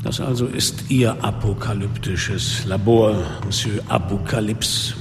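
An actor playing a world-weary, bitter police inspector who narrates a radio drama in a grave, sardonic manner. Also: male voice, lowish voice, steady tone, measured pace. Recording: long reverb, clear music behind.

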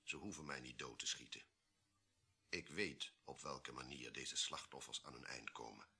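An actor playing an imperious, ruthless army colonel in a radio play, speaking in a curt, formal, commanding way. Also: male voice, rumbling low, moderate pace, creaky, sharp tone, husky, heavily acted. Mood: grim. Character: imperious, ruthless male army colonel guarding a deadly secret. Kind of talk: Dutch radio play acting.

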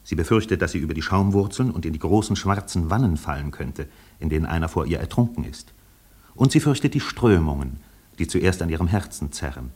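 An actor playing a wry narrator in a literary radio play, telling it in a measured, lyrical way. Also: male voice, deep voice, expressive, darkish tone, husky.

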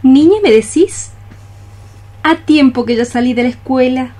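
An actress playing a lovesick young maiden cockroach, lyrical and declamatory in a poetic radio play. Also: female voice, high-pitched, measured pace, dark tone. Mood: melancholy. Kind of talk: poetic radio theatre acting.

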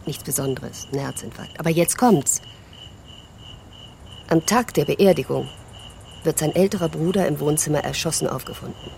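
A woman's voice: low-pitched, normal speed, gravelly, pauses often, warm tone, a little hiss or hum. An actress playing a frank, wry, teasing woman confiding in an old friend in a German radio drama.

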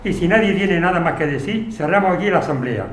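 A man plays an orderly assembly chairman in a radio drama. His voice sits fairly high for a man and rings in an echoey room as he runs the meeting. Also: animated, quick pace, very noisy. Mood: businesslike. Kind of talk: amateur radio drama acting.

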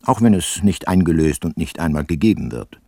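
A middle-aged private detective for a radio play. His low voice is gravelly and very close and dry in sound. He is laconic and ironic, never in a hurry. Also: animated, a little fast, mellow, dry recording. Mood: wry, reflective. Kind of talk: radio drama narration and dialogue.

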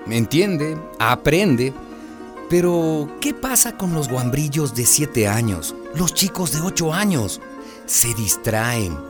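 Animated, concerned parent. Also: female voice, low-pitched, slow, over music, full character voice. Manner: conversational, earnest. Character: concerned, outspoken parent narrating neighborhood troubles. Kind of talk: radio drama acting and narration.

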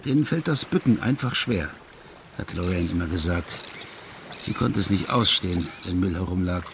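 A man's voice: low-pitched, moderate pace, background noise. An actor playing a wry, laid-back Dutch ex-police detective telling his tales in a radio crime drama. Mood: amused storytelling.

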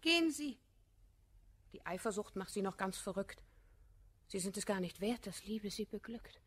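Proud, animated, husky society lady. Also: medium pitch, normal speed. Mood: indignant, reproachful. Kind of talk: classical verse comedy radio play acting.

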